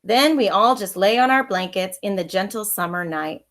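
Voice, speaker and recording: A woman reads a children's story aloud in the manner of a schoolteacher. Her voice sits at a middle pitch, and her delivery is expressive storytelling aimed at young listeners. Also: normal speed. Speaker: elementary school teacher reading aloud.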